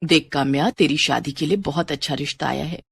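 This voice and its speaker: A woman voicing a kindly middle-aged mother with a gentle, coaxing manner in a family story. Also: low-pitched, brisk, creaky, husky, voice pushed down, heavily acted. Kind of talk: Hindi family-story dramatization.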